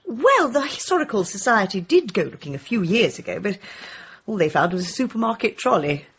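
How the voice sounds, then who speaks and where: Breathy, kindly village woman. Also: mid-range voice, big pitch swings, brisk, a bit bright, dull audio, heavily acted. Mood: chatty. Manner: polite, mild, homely. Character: kindly, gentle, slightly hesitant village woman. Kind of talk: radio comedy drama acting.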